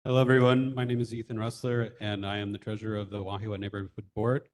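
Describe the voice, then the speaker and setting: A man's voice: fairly low pitch, level tone, fast. A man who is a neighborhood board treasurer, reading a formal, rousing prepared statement at a community meeting.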